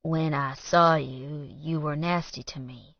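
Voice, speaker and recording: A woman narrator voicing a stoic, remorseful man, speaking softly and solemnly in a flat, ragged tone for an audiobook romance. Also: sits low, no room sound, dull audio. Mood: quiet, uneasy. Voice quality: ragged, soft. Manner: flat, quiet, solemn, slow. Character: stoic, gruff man, quietly remorseful lover.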